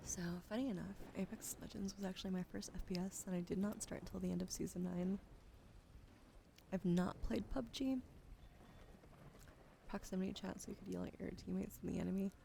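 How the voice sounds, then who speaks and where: A sleepy woman streaming ASMR with a sore throat, switching between soft whispers and casual chat about games into a close microphone. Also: mid-range voice, fairly even intonation, fairly quick, creaky, pauses often. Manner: soft whispering between chatty gaming talk. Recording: noisy stream audio.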